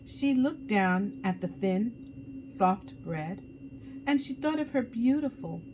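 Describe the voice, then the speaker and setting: A woman reading a picture book aloud as a children's storyteller, with dramatic, drawn-out emphasis for young listeners. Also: medium pitch, darkish tone, a little hiss or hum, rough recording.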